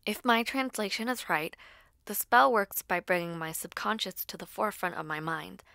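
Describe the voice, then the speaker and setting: A woman acting an earnest, nervous school-age girl who dabbles in spells, speaking haltingly and intimately to the listener in an audio roleplay. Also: mid-range voice, expressive, vocal fry, no room sound, studio quality. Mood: hesitant, trusting. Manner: hesitant, halting, soft-spoken asides.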